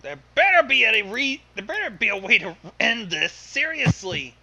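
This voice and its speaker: Very high, bright-voiced man bantering casually. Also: sing-song, moderate pace. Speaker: guest commentator on a fan fiction reading.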